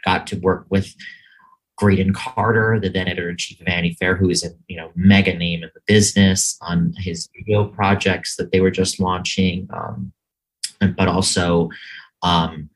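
A man with a deep, low voice talks loosely as an interview guest. He rambles, circles back and fills pauses with ums. He is speaking from an office. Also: level tone, husky, rough recording. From New York. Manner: rambling, many ums and restarts. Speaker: guest, media sales executive. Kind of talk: casual interview chat.

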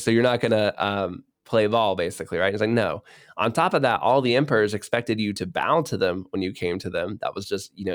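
Low-voiced man retelling history casually. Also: no room sound, studio quality. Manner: animated retelling with voiced quotes and fillers. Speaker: podcast co-host telling church history. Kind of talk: casual storytelling chat.

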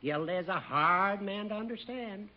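An actor playing a sly, boastful older judge, teasing and theatrical in a 1950s radio comedy. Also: male voice, high-pitched, unhurried. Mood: lively. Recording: muffled, faintly hissy old radio recording.

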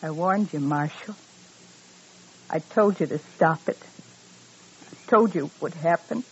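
A woman's voice: deep voice, a little slow, husky, exaggerated voice. Crazy, bitter frontier woman, muffled recording. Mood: bitter, wounded. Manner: rustic folksy speech, brooding, childlike repetition. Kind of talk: old-time radio western acting. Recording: dull, noisy old radio recording.

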